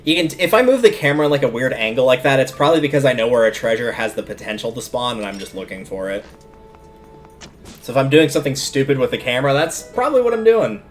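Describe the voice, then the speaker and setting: A man's voice: mid-range voice, expressive, fast talker. Casual male game streamer over music. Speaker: livestreamer. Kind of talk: casual video game livestream commentary.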